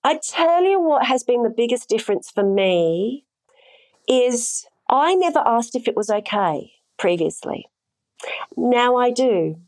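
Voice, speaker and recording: A woman's voice: on the high side, big pitch swings, a little slow, a little mellow. A middle-aged woman answering interview questions as an intimacy coordinator, explaining things thoughtfully with frequent fillers.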